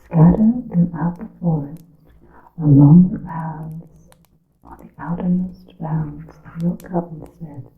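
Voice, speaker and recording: A woman playing a scheming witch elder in an ASMR roleplay, in a breathy, creaky whisper with formal, archaic, deliberate phrasing. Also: sits low, steady tone. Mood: hushed, conspiratorial. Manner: deliberate, formal, archaic diction with long pauses. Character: scheming female witch elder instructing her secret coven. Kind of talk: ASMR roleplay, scripted monologue. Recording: muffled phone-like lo-fi sound, roomy echo.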